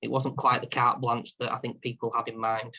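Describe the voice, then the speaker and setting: A man with a mid-pitched, very flat voice delivers a steady, scripted business webinar update. The sound is dull and lo-fi, as over a video call. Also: brisk. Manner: steady, reads prepared notes. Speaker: partner and insolvency practitioner.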